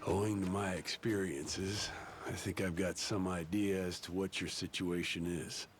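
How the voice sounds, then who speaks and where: Low-voiced gruff, suspicious barkeep father. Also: steady tone, unhurried, faint music behind. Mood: wry, explanatory. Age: middle-aged. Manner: sardonic, grudging. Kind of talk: English video game voice acting.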